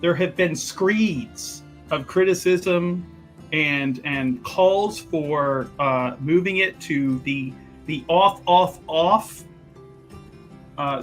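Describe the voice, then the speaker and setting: A man's voice: on the high side, slow, a bit bright, soft background music. A man playing a tabletop game, casually recapping what his character has been up to, often stopping to search for words and restarting.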